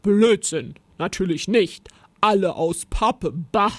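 Lady doing a big grumpy monster for a kids story, growly n bossy, bored, slow talker. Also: mid-range voice, no echo. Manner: growling, grunting, snapping commands. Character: huge bored, bullying male-voiced fairground monster, hungry for company.

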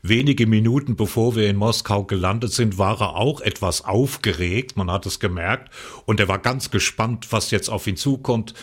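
Older radio co-host from the Palatinate, low voice, loose reminiscing storyteller. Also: male voice, moderate pace, husky. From Palatinate, West Germany. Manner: reminiscing, relaxed storytelling with fillers. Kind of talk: casual radio talk.

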